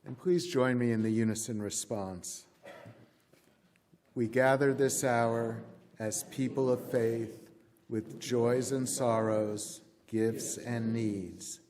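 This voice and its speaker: A man leading a congregational recitation in a measured, solemn way as a worship associate. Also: medium pitch, steady tone, a little mellow. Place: church sanctuary at pulpit. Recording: long reverberant church hall.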